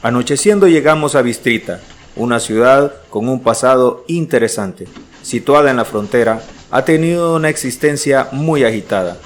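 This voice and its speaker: An actor playing an earnest, uneasy traveller who narrates his diary in measured, literary style for a radio drama. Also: medium pitch, expressive, natural voice.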